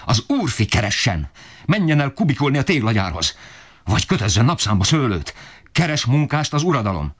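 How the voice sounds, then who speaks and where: A man's voice: low-pitched, pitched above the performer's own voice, natural voice. A man voicing a desperate, enraged poor father who bellows in fury. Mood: raging. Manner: bellowing, furious outbursts.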